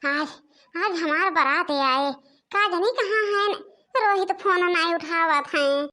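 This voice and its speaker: Woman as a high-voiced, scheming young bride. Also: takes it slowly, sharp tone, exaggerated voice. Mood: impatient, scheming. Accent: Awadhi. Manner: talks to herself. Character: sneaky young village bride planning to elope. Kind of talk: animated comedy monologue in cartoon.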